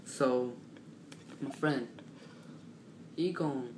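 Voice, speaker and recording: A man telling a casual, animated story in slang, repeating himself and acting out what people said. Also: medium pitch, extremely slow. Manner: slangy, repetitive, acts out quotes. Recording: roomy, distant mic, clearly noisy.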